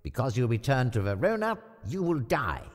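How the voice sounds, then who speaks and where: A man's voice: medium pitch, big pitch swings, normal speed, lightly acted. An actor playing a stern, grieving nobleman in a formal, declamatory style for a dramatized classic. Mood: accusing, commanding. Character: stern, grieving authoritative Italian nobleman of old Verona. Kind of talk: dramatized illustrated-classic audio play.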